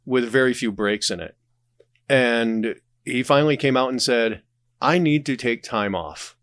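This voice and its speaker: A man talking casually as a podcast guest, telling anecdotes in an animated, conversational way. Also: medium pitch, unhurried, no echo, clean studio sound. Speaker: podcast guest, audio drama creator.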